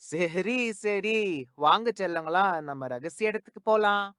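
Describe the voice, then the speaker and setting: A man's voice: high voice, unhurried, fairly bright tone, smooth, no room sound, clean studio sound. A man voicing a friendly, welcoming donkey in a children's cartoon, hearty and folksy. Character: welcoming male donkey, the cat's close friend.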